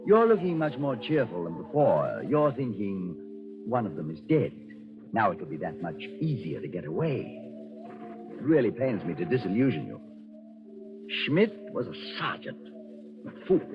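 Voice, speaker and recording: Arrogant, commanding colonel, animated delivery. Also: male voice, on the low side. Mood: smug, sardonic. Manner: commanding, sardonic, formally polite. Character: arrogant German colonel on the run, coldly menacing. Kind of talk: 1940s radio acting. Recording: muffled 1940s radio recording with music.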